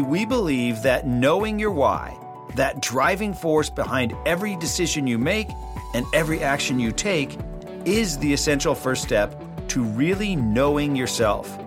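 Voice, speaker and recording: A man's voice: mid-range voice, very animated, normal speed, music in the background. A man hosting a podcast, reading a scripted intro and then interviewing a guest. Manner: reads intro steadily, lists credentials. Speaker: podcast host.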